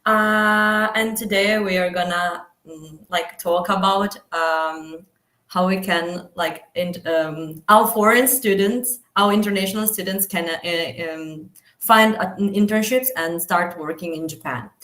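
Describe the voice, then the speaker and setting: A woman's voice: on the low side, a little slow, a bit bright, reverberant. A young woman, a student association president, introducing an event with many hesitant fillers. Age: young adult.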